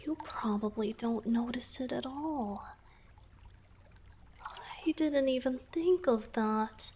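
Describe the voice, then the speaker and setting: A woman voicing a gentle young merman boyfriend in a romantic ASMR roleplay, soft-spoken and hesitant, often slipping into breathy whispers. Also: fairly high pitch, slow pace, a little hiss or hum. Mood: shy, explaining.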